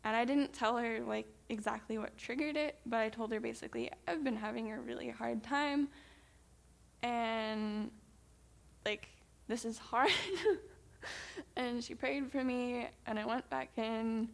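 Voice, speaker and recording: A young woman from the United States talks in a relaxed, story-telling way, filling pauses with ums and breaking into little laughs. Her voice sits a bit high for a woman and has a noticeable creaky fry. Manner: chatty, many ums, laughs while talking. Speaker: missionary reporting back. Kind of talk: casual storytelling presentation.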